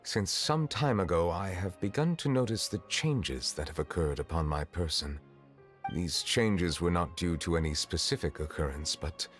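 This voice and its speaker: An actor playing a grave, reserved chief justice in a video game, speaking formally and with measured melancholy. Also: male voice, sits low. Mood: reflective. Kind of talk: video game character acting.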